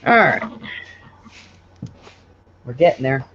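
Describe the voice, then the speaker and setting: A woman's voice: extremely low pitch, lively intonation, slow pace, highs cut off, slight background noise. A woman in her forties co-hosting a casual livestream. She sounds congested and stuffed up, and she teases in a playful way. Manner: teasing and playful. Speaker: co-host of a live chat show.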